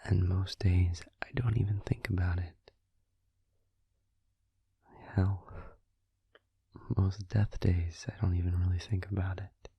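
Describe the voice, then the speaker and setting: A man playing a gentle, supportive vampire boyfriend in an intimate ASMR roleplay, in a breathy, soothing whisper with pauses. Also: low voice, level tone, no room sound, full character voice. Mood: confiding, pained. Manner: soft, soothing, hesitant pauses.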